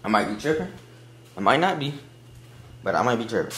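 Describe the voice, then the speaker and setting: A man's voice: fairly low pitch, sing-song, brisk, very noisy. A young man, talking casually to his phone camera outdoors in a rambling, slangy, encouraging way. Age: young adult. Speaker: vlogger. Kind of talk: casual vlog talk to camera.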